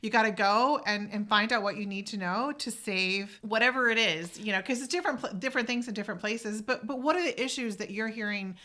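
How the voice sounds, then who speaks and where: A woman's voice: mid-range voice, fairly quick, hardly any pauses, bright, forceful tone. An American woman from Oklahoma hosting a conversational interview in an informal, reflective and encouraging way. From Oklahoma, United States. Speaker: podcast host.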